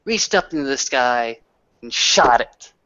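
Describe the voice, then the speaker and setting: A man's voice: medium pitch, expressive, unhurried, bright, forceful tone, smooth, dry recording, highs cut off. A man voicing a proud werewolf warrior, telling a battle saga in a theatrical, emphatic way with repeated words for effect. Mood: solemn triumph. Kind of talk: dramatic in-character storytelling.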